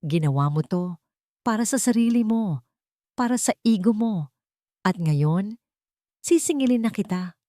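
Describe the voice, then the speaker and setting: A woman voicing a wronged wife in an audiobook drama, cold, deliberate and vengeful. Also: medium pitch, animated, warm tone, no echo, studio quality, voice pushed up, lightly acted. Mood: cold accusing.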